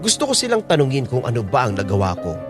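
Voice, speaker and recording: A man's voice: medium pitch, wildly swooping pitch, fast, over music. A man acting as a hurt young storyteller, narrating a radio drama earnestly and reflectively with a Filipino accent.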